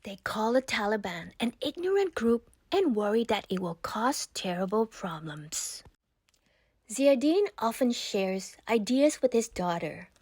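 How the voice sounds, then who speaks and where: Expressive woman reading a children's storybook. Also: on the high side, measured pace, breathy edge, dry recording, clean studio sound. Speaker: read-aloud narrator of a children's picture book. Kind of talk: scripted storybook narration.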